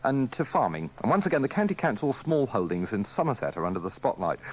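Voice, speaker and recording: A man's voice: medium pitch, sing-song, quick pace, a little mellow, rough recording. A man presenting scripted morning news on live radio.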